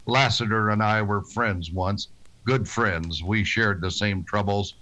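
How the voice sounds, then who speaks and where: An actor playing a stern, weary frontier marshal in a western radio drama, terse, plain-spoken and grave. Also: male voice, on the low side, steady tone, measured pace, sharp tone, low-quality recording. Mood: grim, stern.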